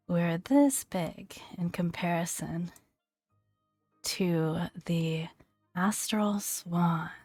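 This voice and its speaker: A woman doing soft-spoken ASMR game commentary in a breathy, gentle near-whisper, murmuring along without hurry. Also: lowish voice, no room sound, clean studio sound. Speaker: video game content creator.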